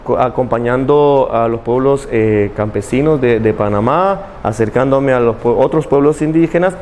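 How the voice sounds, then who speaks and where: A middle-aged man with a Salvadoran accent, a missionary priest who explains slowly and carefully, closing his phrases with "¿verdad?". His voice sits at a middle pitch, with a faint room hum underneath. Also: darkish tone. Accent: Salvadoran Spanish. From El Salvador, Chalatenango. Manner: measured, explanatory, often ends with "¿verdad?".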